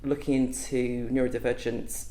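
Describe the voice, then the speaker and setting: A woman's voice: very deep voice, level tone, normal speed, mellow. A woman guest on a podcast, talking openly and thoughtfully in a casual interview, with frequent ums and restarts. She is not from Wales. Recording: echoey room.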